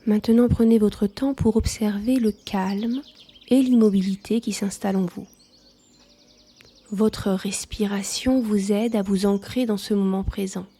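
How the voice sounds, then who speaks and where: A woman leading a guided yoga nidra relaxation, speaking softly in a calm, soothing manner. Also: mid-range voice, slightly rough.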